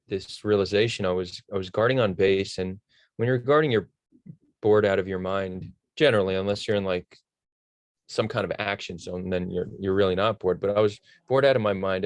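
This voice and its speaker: Man, American accent, guest speaker, fairly low pitch, measured, conversational storytelling, no room echo. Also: studio quality. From New Jersey, United States. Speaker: guest speaker, initiative founder.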